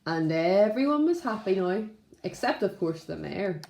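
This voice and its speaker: A woman's voice: mid-range voice, very animated, unhurried, creaky, darkish tone, a little hiss or hum. A woman speaking expressively to young children like a primary teacher, in a storybook read-aloud style. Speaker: primary school teacher reading to class. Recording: roomy, echoing room sound.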